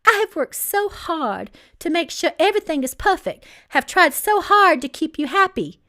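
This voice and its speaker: High-pitched young bride-to-be as a woman reads her, animated, wheedling, nervous, manipulative, storybook dialogue. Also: normal speed, full character voice. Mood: flustered pleading. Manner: flustered, stammering, pleading.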